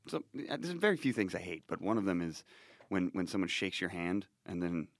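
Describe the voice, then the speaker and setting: Low nasal man with a cold. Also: sing-song, brisk, no echo, clean studio sound. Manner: rambling, self-deprecating, restarts a lot. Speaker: podcast host. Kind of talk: casual podcast chat.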